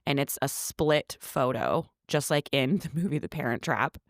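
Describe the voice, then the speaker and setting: A woman co-hosting a podcast, chatting casually as she recaps a movie, with quick asides and restarted sentences. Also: very deep voice, no echo, studio quality. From United States. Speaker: podcast co-host.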